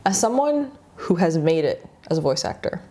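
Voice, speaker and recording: A woman in her late twenties hosts a casual chat. Her voice is slightly low and mellow, yet she talks in an excitable, gushing way, padding her questions with "like". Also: normal speed. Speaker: interviewer, music producer and songwriter.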